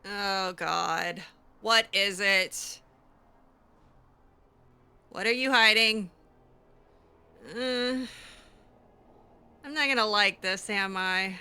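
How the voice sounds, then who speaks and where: A woman's voice: medium pitch, drawn-out words, pauses often, bright, forceful tone, clear, resonant tone. A woman improvising in-character commentary on a game playthrough, theatrical, sarcastic and easily exasperated. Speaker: gamer roleplaying her character.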